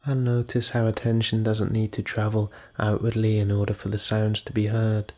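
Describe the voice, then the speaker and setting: A man leading a guided meditation in a calm, measured manner, leaving pauses between phrases. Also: lowish voice.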